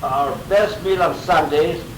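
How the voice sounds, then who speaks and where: A man's voice: fairly high pitch, slow pace, echoey room, recorded from a distance, slight background noise, poor audio. A man in his eighties, from Greece, telling an interview about his childhood in a rambling way with chuckles. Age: 80s. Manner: chuckling, rambling storyteller with restarts. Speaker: interviewee, grandfather. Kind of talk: casual oral-history interview. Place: home.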